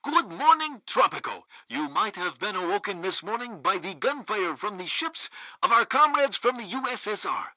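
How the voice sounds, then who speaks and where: A pompous state radio newsman. His voice is very bright and forceful, swinging theatrically up and down. He delivers satirical game propaganda with total loyalty and bombast. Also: high voice, sing-song, normal speed, no echo, heavily acted. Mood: proud, bombastic. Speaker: radio news host. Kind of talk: satirical radio news broadcast.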